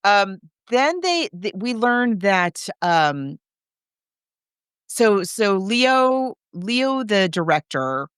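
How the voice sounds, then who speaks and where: A woman's voice: medium pitch, sing-song, very slow, fairly bright tone, clean tone, no room sound, clean studio sound. A woman hosting a podcast, discussing film casually in an enthusiastic, chatty, emphatic way. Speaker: podcast host.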